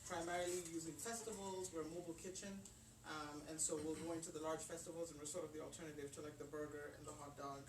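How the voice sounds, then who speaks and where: A woman speaks to a gathering in a loose, chatty way, full of ums and restarts. She is caught from a distance in a roomy space. Steady noise runs under her voice. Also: sits low, quick pace, few pauses. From Kenya. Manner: chatty, with many fillers and restarts. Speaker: caterer and business owner presenting to a group. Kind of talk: informal talk at a community event. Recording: roomy, distant and noisy event recording.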